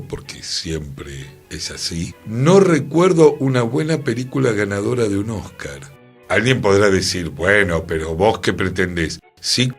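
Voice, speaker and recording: Un hombre con acento rioplatense que opina en la radio de manera enfática y reflexiva, con preguntas retóricas. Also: male voice, lowish voice. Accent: Argentine Rioplatense Spanish. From Argentina. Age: middle-aged or older. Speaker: radio host. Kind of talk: opinionated radio commentary.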